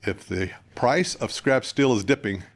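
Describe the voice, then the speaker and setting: An older American man from northern Utah, a guest candidate giving plain-spoken, conversational interview answers in measured phrases. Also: mid-range voice. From northern Utah, United States. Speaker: political candidate, podcast guest.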